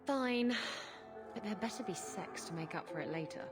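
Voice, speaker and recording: An actress plays a brazen female rogue in a fantasy game. She is blunt and flirtatious, with a mid-pitched, very animated delivery. Music plays clearly behind her. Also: moderate pace, lightly acted. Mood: brazen, playful. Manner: sassy, blunt. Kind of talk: video game dialogue acting.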